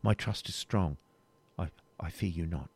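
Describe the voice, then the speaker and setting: Man doing a firm, dignified young woman captive, pitched up from his own low voice, dry close sound. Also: moderate pace, dry recording, natural voice. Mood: resolute. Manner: earnest, steady pleading. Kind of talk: audiobook reading, period novel.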